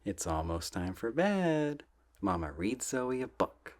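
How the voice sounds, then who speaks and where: A soft-spoken man reading children's books aloud in a gentle, playful ASMR style, often dropping close to a whisper. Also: fairly low pitch, sing-song. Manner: gentle, drawn-out, playful storybook delivery.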